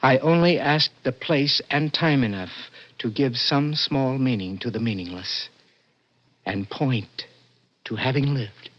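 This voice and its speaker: A man's voice: mid-range voice, natural voice. A man playing a desperate young imprisoned poet in earnest, dramatic 1960s radio suspense style. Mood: solemn. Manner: intense, earnest, dramatic, halting when startled. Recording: dull old radio recording, prominent music.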